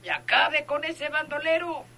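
A man's voice: extremely high pitch, normal speed, vocal fry, pushed and bright, heavily acted. A man playing an officious, commanding town mayor on a call in an old radio drama. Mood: urgent, commanding. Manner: hesitant openers, officious.

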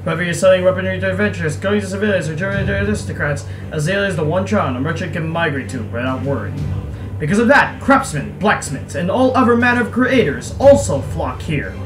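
A man's voice: high-pitched, expressive, a little fast, reverberant, poor audio. A young man narrating a visual novel playthrough in a casual, joking way with exasperated asides. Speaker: let's player reading a visual novel aloud. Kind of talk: casual gaming commentary and read-aloud narration. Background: game music.

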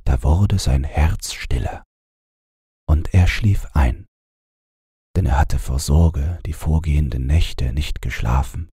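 Deep, very husky male bedtime narrator. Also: dry recording, studio quality. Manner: gentle, soothing, measured. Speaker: audiobook narrator. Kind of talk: bedtime fairy-tale narration.

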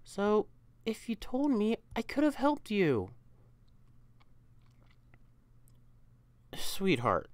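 A woman's voice: sits low, no echo, full character voice. A young woman voicing an affectionate, teasing, protective catboy boyfriend in an intimate, coaxing ASMR roleplay. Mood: worried, tender. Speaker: audio roleplay performer.

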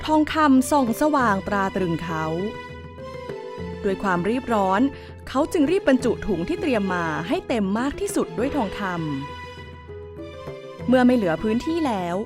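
A woman's voice: fairly high pitch, lively intonation, moderate pace. A woman reading a scripted fairy tale for children as a storyteller. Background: music.

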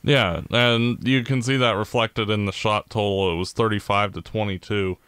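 A Canadian man co-hosting a podcast, talking through the game in a measured, analytical way. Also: on the low side, fairly even intonation, a bit bright, smooth, dry recording. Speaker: podcast co-host. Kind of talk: casual sports chat.

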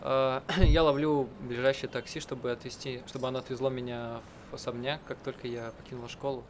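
A man narrating and translating a visual novel aloud in a halting, improvised way, often clearing his throat. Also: mid-range voice, flat delivery, noisy background. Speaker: let's player translating and voicing a game. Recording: loud game music behind. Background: game music.

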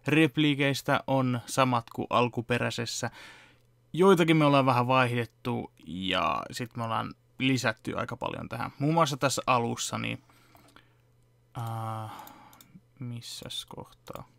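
Brief: young male vlogger, deeply gravelly, mid-pitched, relaxed walkthrough delivery, filmed close-up at a home desk. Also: no room sound. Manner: relaxed, explaining step by step with fillers. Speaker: vlogger and fan dubber. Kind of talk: casual behind-the-scenes chat. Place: home room at a computer desk.

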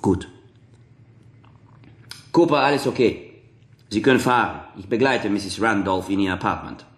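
An actor playing a calm, authoritative psychotherapist in a radio drama, speaking formally and insistently in measured phrases. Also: male voice, lowish voice, natural voice. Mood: firm, warning. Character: calm, probing male psychotherapist, authoritative and persistent. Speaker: actor in a radio crime play. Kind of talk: German radio drama acting.

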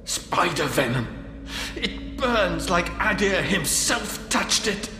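An actor playing a wounded older monk healer in a fantasy game, strained with pain, halting and pleading. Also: male voice, mid-range voice, natural voice. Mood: desperate, pained. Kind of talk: fantasy game cutscene acting. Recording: echoey hall with music underneath.

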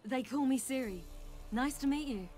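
A woman's voice: high voice, normal speed, a little mellow, soft background music, close to an everyday voice. A woman playing a headstrong, defiant young warrior in a fantasy game, direct and sardonic. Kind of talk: fantasy video game acting.

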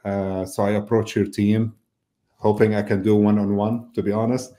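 A middle-aged man talks through a webcam from his office, his voice low and warm in tone. He answers questions at an unhurried pace, stopping often on fillers and restarting his sentences. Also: flat delivery. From Lebanon. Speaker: mortgage business owner, guest. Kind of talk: casual interview over video call. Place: indoor office. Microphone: video call webcam mic.

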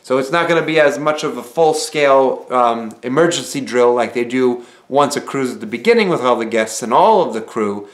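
A man in his thirties chats straight to camera from a small room at home, explaining things in an easygoing way and leaning on "uh" and "um". His voice sits at an ordinary middle pitch. The camera mic catches a good deal of room echo around him. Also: moderate pace, hardly any pauses. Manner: conversational, explanatory, frequent "uh" and "um" fillers. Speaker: vlogger and former cruise ship entertainer. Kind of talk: casual advice vlog to camera.

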